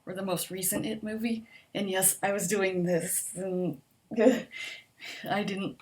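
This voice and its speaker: A soft-spoken woman talks casually through a list of questions, pausing often with ums and likes. Her voice is quiet, and a mild stutter catches on a word now and then. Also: medium pitch, steady tone. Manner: hesitant, with frequent ums and likes, laughs softly. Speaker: vlogger answering a survey. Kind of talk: casual chat.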